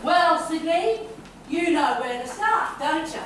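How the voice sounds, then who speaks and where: A woman's voice: extremely high pitch, expressive, slow pace, noisy background, exaggerated voice. A woman playing a haughty, domineering wealthy mother who speaks in a grand, mocking way. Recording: echoey room from far off.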